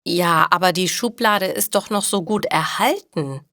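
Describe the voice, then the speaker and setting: A woman's voice: fairly low pitch, measured pace, pushed and bright, no room sound, clean studio sound. A woman voicing an insistent, meddling but friendly male neighbour in a language-learning story, speaking politely and emphatically. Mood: puzzled, insistent.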